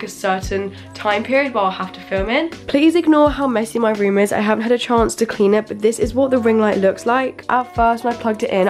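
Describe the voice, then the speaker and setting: A teenage girl with a croaky voice from a cold, chatting excitedly through an unboxing vlog in her bedroom, filmed on a camera mic. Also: mid-range voice. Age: teens. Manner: chatty and excitable, lots of "like" and "literally". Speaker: YouTuber. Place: bedroom, indoors.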